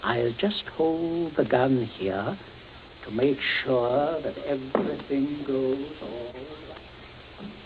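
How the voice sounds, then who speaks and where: A man's voice: mid-range voice, drawn-out words. An actor playing a coolly menacing middle-aged father who gives curt, icily polite commands in a vintage radio suspense play. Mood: threatening. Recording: tinny, hissy old radio broadcast.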